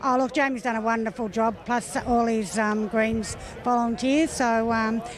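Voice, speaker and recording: A woman's voice: a little high, fairly even intonation, moderate pace. A woman, the club secretary, giving brief, cheerful answers outdoors.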